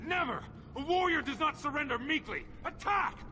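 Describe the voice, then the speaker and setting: Fierce warrior dwarf, extremely bright, animated and defiant, for fantasy game battle scenes. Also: female voice, high-pitched, moderate pace, a little hiss or hum. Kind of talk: dramatic fantasy game acting.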